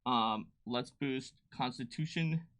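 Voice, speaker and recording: A man with a very bright, forward voice at a middling pitch, recorded close and dry. He talks casually and playfully, thinking aloud as he works through a tabletop game build as a livestream host. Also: takes it slowly, dry recording. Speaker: game designer hosting a livestream.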